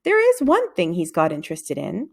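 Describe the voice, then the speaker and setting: Woman as an agreeable, slightly dim dad, lively pitch. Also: normal speed, vocal fry, breathy edge, full character voice. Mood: eager to help. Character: slow-witted, cheerful, obliging schoolboy's father.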